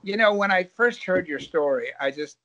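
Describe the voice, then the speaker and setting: A man hosting a storytelling podcast, asking warm, encouraging questions. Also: high voice, expressive, normal speed, muffled recording. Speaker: podcast host. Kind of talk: interviewing on a podcast.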